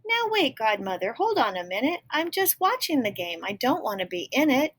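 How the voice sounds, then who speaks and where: Kadının canlandırdığı ince sesli, hevesli genç kız. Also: female voice, fairly high pitch, sing-song, moderate pace, fairly bright tone, pitched above the performer's own voice. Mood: wary, protesting. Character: polite, eager, hard-working young Cinderella girl. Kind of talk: picture-book read-aloud.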